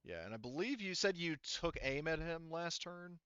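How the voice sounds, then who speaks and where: Male game master, smooth clean voice, talks players through turns casually, swears freely, dry close sound. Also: a little high, expressive, normal speed, fairly bright tone, no echo, lo-fi sound. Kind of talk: running a tabletop role-playing game, casual rules talk.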